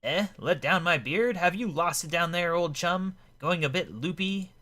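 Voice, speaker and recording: Pompous old gent, animated. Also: male voice, on the high side, normal speed, voice pushed up. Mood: jolly, blustering. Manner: blustering, exclamatory, old-fashioned genteel speech. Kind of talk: voicing video game dialogue.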